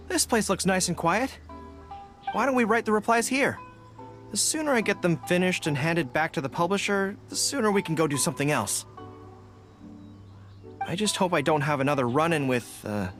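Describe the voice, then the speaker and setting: A man's voice: fairly high pitch, lively intonation, moderate pace, fairly bright tone, faint music behind. A young man playing an earnest, awkward, easily flustered dog-eared general in an anime-style video game. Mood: earnest, hesitant. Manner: earnest, hesitant, boyish.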